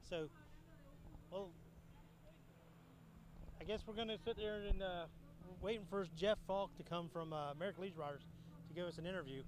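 A man co-hosting a live stream, talking in a relaxed, offhand way while waiting for guests. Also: high voice, brisk, pauses often, background noise. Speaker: co-host. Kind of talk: casual live stream filler.

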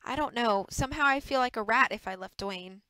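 A woman's voice: fairly high pitch, a little fast, clean tone, dry recording, rough recording. A woman voicing a proud, fiery young soap opera actress in an audiobook. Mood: soft, reassuring. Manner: sharp, forthright, dramatic.

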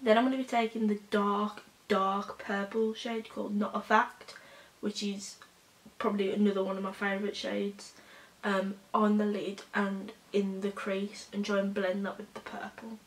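A young woman with a hoarse voice from a cold, chatting casually through a makeup tutorial with halting, filler-heavy talk. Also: mid-range voice, level tone, echoey room, low-quality recording. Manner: rambling, halting, with many fillers. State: hoarse, recovering from a cold, coughing. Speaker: beauty vlogger. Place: small bedroom indoors.

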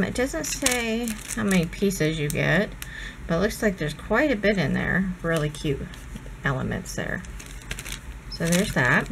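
Woman vlogger, a bit hoarse, low-pitched, chatty and gushing, faint hiss behind. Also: fairly bright tone, poor audio. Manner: gushing, chatty, many ums. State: hoarse, losing her voice. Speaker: crafting vlogger. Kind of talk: casual haul video chat.

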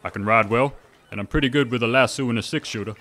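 A man's voice: mid-range voice, quick pace, pushed and bright, lightly acted. An actor playing an eager, polite young man who works on the railroad. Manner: earnest, polite.

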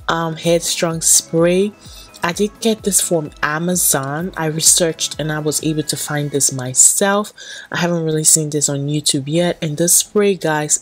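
A young woman with a stuffy, nasal voice from a cold, chatting casually to camera as she talks through a hair tutorial. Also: sits low. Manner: chatty, repeats "so yes" and "going in". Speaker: beauty vlogger. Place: small indoor room, plain backdrop.

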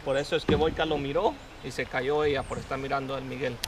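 Excited man fishing on a river. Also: mid-range voice, quick pace. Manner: excited, switches between Spanish and English. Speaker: fishing vlogger. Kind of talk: casual vlog narration while fishing. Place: outdoors on a river, wading and kayaking. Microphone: action camera on a kayak. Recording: noisy outdoor river audio.

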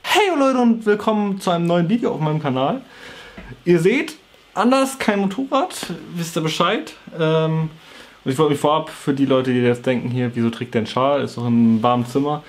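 A man's voice: fairly high pitch, animated, brisk. A young adult man with a cold with a sore throat, talking casually to camera in an informal, slangy, offhand way. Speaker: vlogger. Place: small bedroom with computer desk, indoors.

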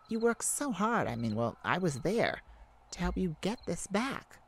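Casting: tender, emotional vampire acted by a woman, lowish voice with gravelly fry, hesitant delivery for intimate ASMR roleplay. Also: slight background noise. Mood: moved, incredulous. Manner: hesitant, emotional, halting with sighs and pauses. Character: tender, wounded, lovesick vampire speaking to a hunter.

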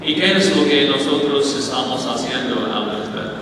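Older guy giving a slow deliberate talk at a podium, english is his first language, big echoey hall, sounds far off with room noise. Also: medium pitch. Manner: slow, deliberate delivery with a foreign accent and restarts. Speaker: conference speaker. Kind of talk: prepared speech at a podium. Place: large conference hall, indoors on stage. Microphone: podium microphone. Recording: echoey hall, taken from a distance.

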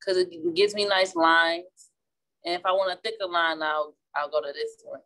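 Woman, 30s, Midwestern accent, relaxed and chatty craft instructor, often restarts mid-sentence. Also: lowish voice, normal speed. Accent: Midwestern American. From St. Louis, Missouri. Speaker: florist and class instructor. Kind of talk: casual demonstration while painting. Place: indoor flower shop worktable.

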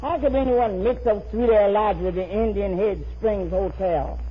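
A woman's voice: mid-range voice, moderate pace, breathy edge. A woman playing a good-natured, wisecracking housekeeper in a 1950s radio sitcom, with an exclamatory, teasing comic delivery. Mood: exasperated. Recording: muffled, noisy 1950s radio recording.

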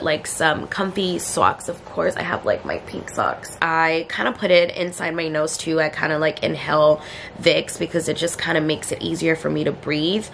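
A young woman with a cold chats to a handheld camera at home, stuffed up and worn out. Her low voice is gravelly with vocal fry, and she rambles along through lots of fillers. A faint hiss of room noise sits underneath. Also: fairly bright tone. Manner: tired, low-energy, rambling with many fillers. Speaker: vlogger. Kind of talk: casual vlog chat to camera. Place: bedroom and then a kitchen at home, indoors. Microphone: handheld camera at arm's length.

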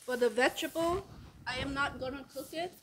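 A middle-aged woman talks through a recipe step by step in a home kitchen, her voice a little high. The camera sits at a distance, and clear clatter and kitchen noise sound behind her. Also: a little fast, a bit bright. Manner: plain, step-by-step explaining while cooking. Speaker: cooking show host. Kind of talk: casual cooking demonstration.

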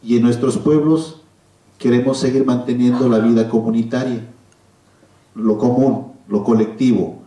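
A middle-aged man with a Mexican accent speaking at a public round table, measured and hesitant with frequent pauses. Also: mid-range voice, steady tone, mellow. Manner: measured, hesitant, pausing often. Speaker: panel speaker. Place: cinema auditorium stage. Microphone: handheld PA microphone, filmed from audience. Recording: echoey hall, distant camera microphone.

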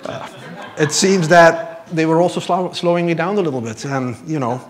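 A man's voice: fairly high pitch, normal speed. A man in his thirties from Belgium, telling his personal faith story from a church stage in an earnest, lightly self-deprecating way, speaking into a lapel mic. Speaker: church member giving testimony. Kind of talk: personal testimony from a pulpit.

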